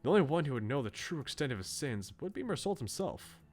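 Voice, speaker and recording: A man voicing a bookish, animated young philosopher. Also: mid-range voice. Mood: musing, conceding. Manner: articulate, philosophical, measured. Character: bookish, musing young male student, politely opinionated. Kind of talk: visual novel dialogue read aloud. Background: music.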